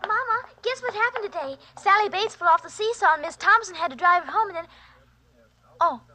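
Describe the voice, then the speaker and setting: A woman's voice: extremely high pitch, lively intonation, brisk, sharp tone, exaggerated voice. An actress playing an innocent, curious little schoolgirl in 1940s radio drama style. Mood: chirpy, curious. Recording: vintage 1940s radio recording.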